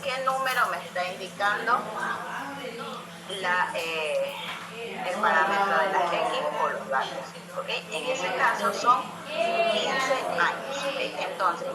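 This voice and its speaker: A woman teaching statistics, explaining step by step and checking often that her students follow. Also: mid-range voice. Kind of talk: classroom lesson. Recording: echoey room with loud music behind.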